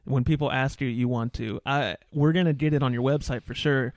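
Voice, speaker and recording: A man's voice: medium pitch, steady tone, a little fast, darkish tone, dry recording, clean studio sound. A man hosting a casual podcast interview, chatty and given to joking, teasing asides. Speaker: podcast host. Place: indoor studio.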